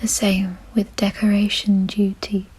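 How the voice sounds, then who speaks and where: Breathy, whispering vampire lady, acted by a woman. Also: mid-range voice, monotone. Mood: fond, doting. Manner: gentle, reassuring, genteel, unhurried. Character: kind, doting aristocratic female vampire owner. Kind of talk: whispered ASMR roleplay.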